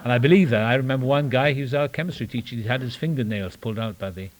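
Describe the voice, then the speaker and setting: A man's voice: mid-range voice, racing. An older man with a Welsh accent speaking at a recovery meeting, with wry self-deprecating humor and rambling asides. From Wales. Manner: rambling with restarts and trailing asides. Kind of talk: personal testimony talk. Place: meeting room.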